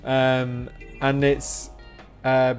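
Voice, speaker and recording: A man's voice: medium pitch, completely flat delivery, drawn-out words, faint music behind. A man live streaming a game, chatting casually in a rambling, jokey way. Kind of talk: casual gaming chat.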